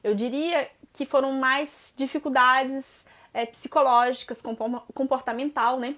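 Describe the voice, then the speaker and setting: A woman's voice: high voice, steady tone, a little slow, fairly bright tone. A Brazilian woman in her thirties with a Brazilian Portuguese accent, answering viewer questions in a chatty personal vlog, with many "né?" tags and some hesitant restarts. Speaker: vlogger.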